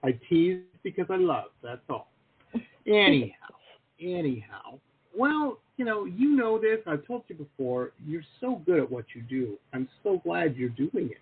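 A man's voice: fairly high pitch, big pitch swings, unhurried, husky. A man hosting a podcast interview, chatting casually and teasing his guest with jokes. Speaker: podcast host. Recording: tinny phone-like call audio.

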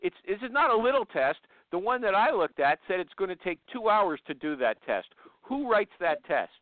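Guy hosting a loose interview show, high voice, bossy and cuts in a lot, thin phone sound, real dry. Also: big pitch swings, moderate pace, no echo, narrow, tinny audio. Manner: blunt, interrupting, steering the guest. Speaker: host interviewing a guest on a live online show. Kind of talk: informal, unscripted interview.

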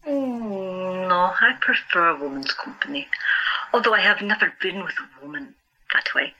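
Woman doing an earnest troubled asylum patient in an audio drama, husky but real bright, hesitant, confiding. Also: on the low side, normal speed, lo-fi sound, full character voice.

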